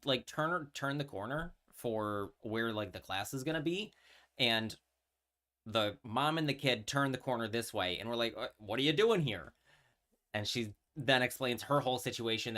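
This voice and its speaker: A man's voice: fairly low pitch, a bit bright. A man, chatting casually on a live stream and telling a story with many fillers and restarts. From New York.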